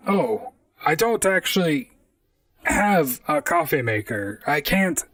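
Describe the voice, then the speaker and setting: A man playing a wry, deadpan robot private detective in a noir audio drama, both narrating and talking to others. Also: fairly high pitch, takes it slowly, no room sound, far from the mic, full character voice. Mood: matter-of-fact, wry. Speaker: narrator and lead character.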